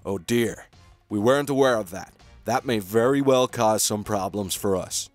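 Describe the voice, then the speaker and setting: A man playing a grave covert-agency commander in a game roleplay, formal, deliberate and urgent. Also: lowish voice, no echo, soft background music, lightly acted. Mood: serious, briefing. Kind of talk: cartoonish game roleplay acting.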